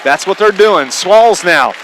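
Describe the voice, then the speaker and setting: A male play-by-play announcer with a distinctly high voice calls a live basketball game for radio. He talks in short, running phrases and often raises his voice. Crowd noise and gym clatter are plainly audible behind him. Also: animated, unhurried, a bit bright. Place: packed high school gymnasium. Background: crowd, bouncing basketballs.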